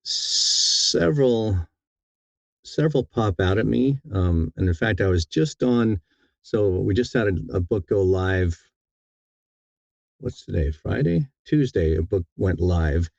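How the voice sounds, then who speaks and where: A man's voice: sits low, vocal fry, a little mellow, no room sound, dull audio. A man being interviewed as an audiobook narrator, talking casually and thoughtfully, often repeating words as he feels his way along.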